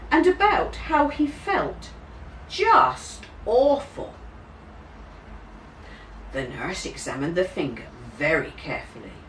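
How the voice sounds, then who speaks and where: A woman narrating a children's story expressively, with dramatic, deliberate, drawn-out emphasis. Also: medium pitch. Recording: roomy, distant mic, faint hiss.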